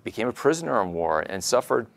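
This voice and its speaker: A man's voice: mid-range voice, very animated, quick pace, vocal fry. A man hosting a reflective conversational interview, asking questions and adding his own commentary. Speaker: interview host.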